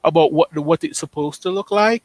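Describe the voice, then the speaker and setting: A man's voice: a little high, fairly quick, a little mellow, no room sound. A man, a front-end developer, talking casually on a video call, hesitant, with lots of fillers and restarts.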